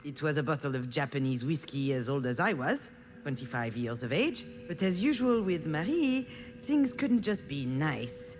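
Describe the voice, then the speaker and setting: A woman's voice: deep voice, very animated, normal speed, faint music behind. An actress playing a frustrated, self-deprecating woman who narrates her family wounds in a confiding, bitter game monologue. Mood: bitter, resentful. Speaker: visual novel protagonist. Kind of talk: dramatic first-person game narration.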